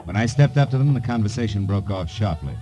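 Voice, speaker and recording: Low-voiced hard-boiled private eye, played by an actor. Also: male voice, animated, fast talker, warm tone, dull audio, faint music behind, close to an everyday voice. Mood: wry narrating. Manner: wry, clipped, slangy. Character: hard-boiled male private eye, wry, persistent, fast-talking. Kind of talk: 1950s radio noir acting, first-person narration and dialogue.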